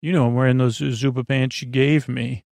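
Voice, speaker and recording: A man hosting a sleep podcast in a meandering, halting, absent-minded monologue with a gravelly, creaky voice. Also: medium pitch, little pitch movement, darkish tone, no echo. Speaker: podcast host.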